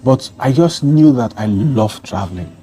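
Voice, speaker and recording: A man's voice: mid-range voice, animated, moderate pace, warm tone. A man from Nigeria telling his travel story as an interview guest, earnest and rambling with many restarts. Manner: meandering, restarts often, earnest. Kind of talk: casual interview conversation.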